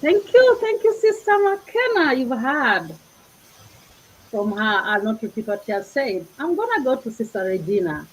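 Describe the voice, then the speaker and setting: A woman with a Kenyan accent speaks as the host of an inspirational discussion. Her voice sits fairly high and has a husky edge. She phrases things earnestly and reflectively. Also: big pitch swings, normal speed, poor audio. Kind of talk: hosting an inspirational panel talk.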